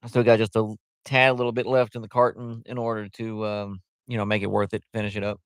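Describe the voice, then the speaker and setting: Smooth-voiced man chatting on a livestream. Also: fairly low pitch, level tone, quick pace, fairly bright tone, no room sound, studio quality. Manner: rambling, loose and chatty, many fillers. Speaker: livestream host. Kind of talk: casual livestream chat. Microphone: headset.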